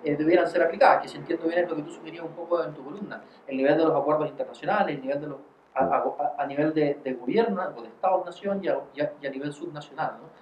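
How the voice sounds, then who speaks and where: Man, very quick pace, slightly high pitch, scholarly moderator, halting repetitions, roomy muffled recording. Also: fairly even intonation. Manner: halting, repeats words, scholarly. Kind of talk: academic discussion, long questions to a guest. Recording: roomy, dull sound with faint noise.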